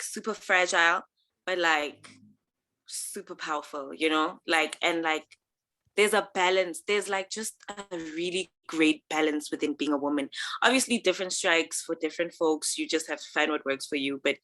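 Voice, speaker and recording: Giggly low-voiced young woman. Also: a bit bright. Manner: giggly, lots of "like" and "you know". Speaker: guest, student.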